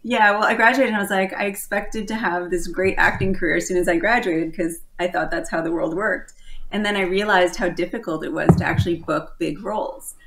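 Lower-voiced woman, cheerful guest. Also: fairly even intonation, fairly quick, background noise. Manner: cheerful, laughs often. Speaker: film producer and actress, guest. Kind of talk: casual interview chat.

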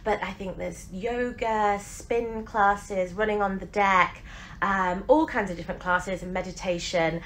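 A woman's voice: medium pitch, fairly even intonation, unhurried, slight background noise, low-quality recording. A woman in her forties chatting casually to camera as a travel vlogger, gushing with enthusiasm. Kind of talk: casual vlog chat to camera. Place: cruise ship decks, venues and cabin. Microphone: phone at arm's length. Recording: loud music and busy crowd behind.

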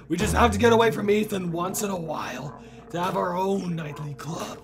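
Guy doing a dopey excitable clone playing games, super croaky, slow drawn out talk, bad grammar. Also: high-pitched, heavily acted. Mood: relaxed. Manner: broken third-person grammar, mild swearing, repetitive exclamations. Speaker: gaming video host. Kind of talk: excited gameplay commentary.

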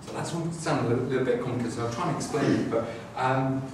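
A middle-aged man giving an academic lecture, talking in a halting way with frequent restarts and fillers. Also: mid-range voice, noisy background. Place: classroom with a screen, indoors. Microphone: far camera. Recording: echoey room, far from the camera mic.

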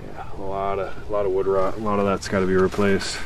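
Man, thirties, lower register, casual and halting working-vlog host, noisy outdoor recording. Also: monotone. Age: 30s. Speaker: vlog host doing barn renovation. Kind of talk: casual chat while working, explaining repairs. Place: outdoors beside a metal barn. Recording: noisy outdoor camera audio.

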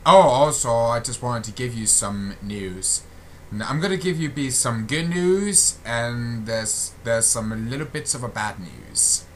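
A young man giving a casual channel update as a gaming video creator, halting and often repeating his phrases, on a built-in computer microphone. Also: medium pitch, expressive, a bit bright, slight background noise. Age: young adult.

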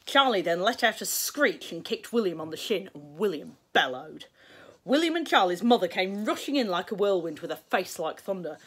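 English lady reading a kids book, really animated, bright clear-cut delivery. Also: lowish voice, normal speed. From England. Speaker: storyteller reading a children's book aloud. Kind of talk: expressive scripted narration for children. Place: small book-lined room indoors.

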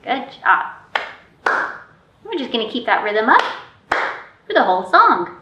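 A woman speaks to toddlers as a storytime host, in a high voice and at a slow pace. She uses simple, encouraging phrases and questions. The sound is roomy, picked up from a little way off. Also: sing-song, lo-fi sound, very noisy. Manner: encouraging, simple child-directed talk with questions and praise. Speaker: children's librarian and storytime host. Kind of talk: children's storytime, talking and singing to toddlers. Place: indoor library room with painted mural. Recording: roomy, distant camera pickup.

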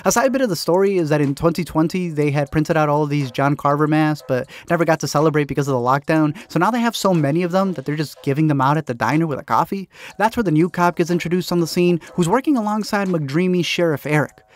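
Fast-talking man recapping a movie. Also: fairly high pitch. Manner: quick, wry, joking delivery. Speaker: host.

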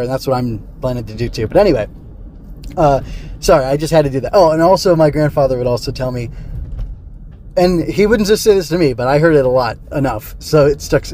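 Middle aged guy rambling on his podcast, relaxed soft tone, bit of noise behind him. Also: mid-range voice. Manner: rambling, chuckling, digressive. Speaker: podcast host. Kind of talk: casual solo review chat.

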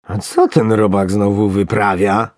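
A storyteller voicing a bewildered, flustered male angler from a storybook, muttering to himself and trying to stay calm. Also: low-pitched, unhurried, no room sound, studio quality. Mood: astonished. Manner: muttering, self-soothing.

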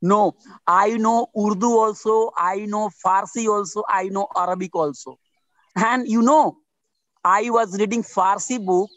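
A man's voice: high-pitched, fairly bright tone, no room sound, rough recording. A man in his forties from India with an Indian English accent, speaking earnestly as a guest on a video-call interview and often repeating himself for emphasis. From India (Bihar). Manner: earnest, repetitive, emphatic with restarts. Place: outdoors under trees.

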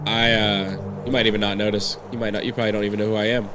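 A man's voice: level tone, very fast. A man in his thirties with a nasal voice, getting over a cold, giving jumpy, swearing commentary while playing a horror game on a headset mic. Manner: jumpy, swearing, yelps in high bursts when startled. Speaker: gaming streamer. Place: indoors at a gaming desk. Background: game music.

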